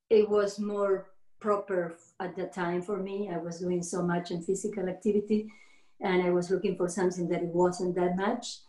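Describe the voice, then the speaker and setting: A middle-aged woman from Argentina with an Argentine Spanish accent. She is a yoga teacher being interviewed, speaking halting second-language English with many restarts and frequent laughter. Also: on the low side, mellow. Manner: laughs often. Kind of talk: casual interview conversation. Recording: roomy echo.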